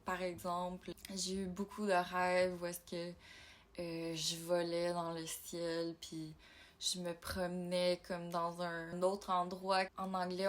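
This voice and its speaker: A woman from Ontario, talking in a casual personal vlog, reflective and halting as she thinks aloud. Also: lowish voice. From Ontario, Canada. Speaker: YouTuber.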